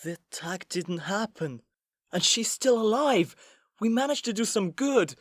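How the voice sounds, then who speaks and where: A woman playing a determined, headstrong, sharp-witted fighter in an audio drama, with dry, quick retorts. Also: mid-range voice, expressive, dry recording. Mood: insistent. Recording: loud music under the voice.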